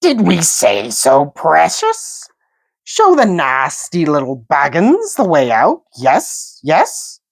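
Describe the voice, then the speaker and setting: Hissing, whining cave creature, high and wheedling, swoops wildly, dry close sound. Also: male voice, wildly swooping pitch, slow pace, gravelly, no echo, full character voice. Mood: sly, wheedling. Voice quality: hissing, sibilant. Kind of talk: audiobook character voice.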